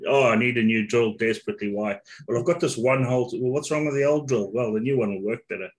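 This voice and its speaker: A man's voice: medium pitch, lively intonation, brisk. A man from Zimbabwe, a podcast guest, chatting easily on a video call, often laughing and restarting his sentences. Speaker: podcast guest, business owner. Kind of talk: casual conversation over a video call.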